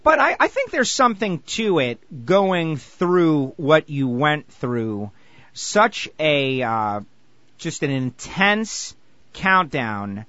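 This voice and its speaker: A man hosting a sports radio show, eager and animated as he interviews a guest. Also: on the high side, slow, dry recording, highs cut off. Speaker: radio host.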